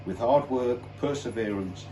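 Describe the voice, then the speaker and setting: Man, storytime reader, dark lowish voice, recorded a little far from the mic. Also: a little slow. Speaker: school staff member reading aloud. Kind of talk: storybook read-aloud. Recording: distant mic, faint noise.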